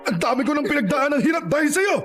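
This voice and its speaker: A man's voice: very high voice, big pitch swings, fast, a bit bright, faint music behind, exaggerated voice. A man playing a poor, exasperated, tearful old grandfather in a Tagalog radio drama, with a Filipino accent and an emotional way of scolding and pleading. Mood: bitter, threatening. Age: older.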